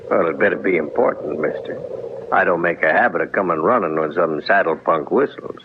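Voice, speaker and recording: A man's voice: sits low, brisk. A man playing a haughty, shady gambling boss in a 1950s radio western, curt, guarded and condescending. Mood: haughty, irritated. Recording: muffled, noisy vintage radio recording.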